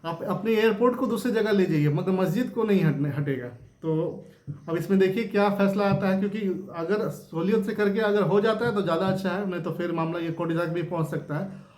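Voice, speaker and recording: A man with a high-pitched voice talks through the news as a host, unscripted and informal. He explains by repeating himself and adds small rhetorical check-ins. The recording is noticeably roomy and echoey. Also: fairly quick, a little mellow. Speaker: host of a news discussion channel. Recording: roomy, echoing room sound.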